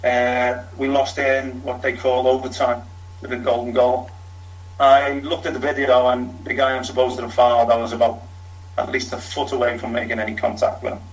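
A man's voice: mid-range voice, monotone, moderate pace, rough recording. Frank middle-aged Liverpool man. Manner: frank, talks in long runs with fillers. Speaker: former footballer, interviewee. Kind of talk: candid storytelling.

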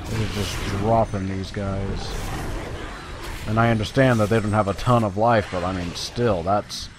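A man's voice: fairly low pitch, steady tone, moderate pace. A man giving casual, playful gameplay commentary, talking to himself and to the game as he plays. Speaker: gaming video creator. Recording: game combat noise behind. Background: video game sound effects.

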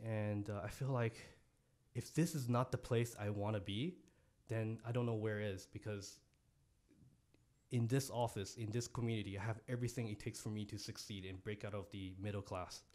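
Soft-spoken young man, earnest podcast guest. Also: lowish voice, moderate pace. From Japan. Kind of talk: podcast interview. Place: office podcast studio. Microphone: studio mic on boom, headphones.

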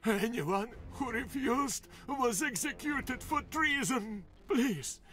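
A man's voice: very high-pitched, expressive, slow pace, pushed and bright, soft background music, heavily acted. A man playing a desperate, frightened villager in a video game, with a pleading, strained delivery. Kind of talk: video game cutscene acting.

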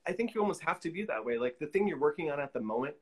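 A man's voice: a little high, very animated, very fast, slightly rough. Middle-aged man chatting, thinking aloud. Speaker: guest, collage artist. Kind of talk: casual interview chat. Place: indoor room, on a video call. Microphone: video call.

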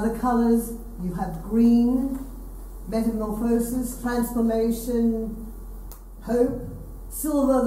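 Female presenter for a solemn ceremony, unhurried and mellow, with deliberate pauses between phrases. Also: medium pitch. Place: hall with seated audience, indoors. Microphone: distant camera. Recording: very echoey room, distant mic, slight noise.

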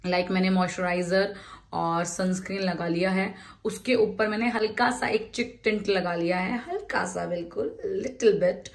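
A woman's voice: mid-range voice, fairly even intonation, moderate pace, gravelly. A woman in her thirties chatting casually to her phone camera as a vlogger, first at home and then in a street market, mixing English words into her talk. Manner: chatty, mixes English into Hindi, says "yaar" often. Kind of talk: casual vlog chat. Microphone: phone held in hand.

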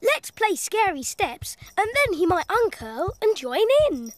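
An actor voicing a cheerful, curious, kind young cat in a preschool cartoon, with a bright, wondering, encouraging manner. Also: extremely high pitch, sing-song, a little slow, background noise, full character voice. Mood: helpful, thoughtful. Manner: bright, wondering, gently encouraging, speaks to small children. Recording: music behind the voice.

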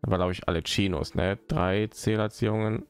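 A man's voice: lowish voice, fairly even intonation, a little fast, smooth, faint music behind. A man, streaming a game and rambling casually, muttering to himself as he plays. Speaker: live streamer. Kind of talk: casual gaming stream commentary.

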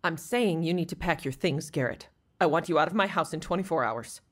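An actress playing a hurt, resolute wife in a radio drama, husky and firm. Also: female voice, low voice, a little fast. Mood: angry, resolute. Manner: firm, wounded, intense. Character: hurt, resolute wife ending her marriage.

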